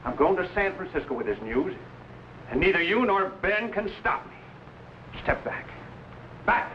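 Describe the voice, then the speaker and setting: Brash gold-rush schemer, animated highish voice. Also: male voice, normal speed. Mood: defiant, threatening. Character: Sam, brash, opportunistic gold-rush newspaperman and trader. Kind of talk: old adventure serial acting. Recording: dull, faintly noisy vintage recording.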